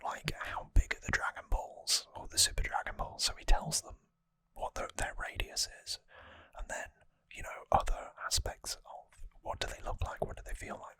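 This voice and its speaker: An ASMR host speaking entirely in a breathy whisper close to the microphone, softly and informally explaining a story recap. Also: moderate pace, no echo. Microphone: close microphone, dry sound.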